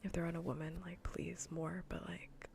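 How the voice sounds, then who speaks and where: A woman hosting a livestream, chatting casually in a soft, breathy, whispery ASMR voice and rambling with dry humour. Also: fairly low pitch, level tone, moderate pace, slight background noise. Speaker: livestream host. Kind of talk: casual ASMR stream chat.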